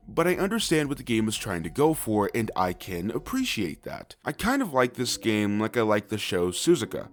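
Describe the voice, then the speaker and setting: A man narrating a scripted comedic video review, with sarcastic, profane, conversational asides. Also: mid-range voice.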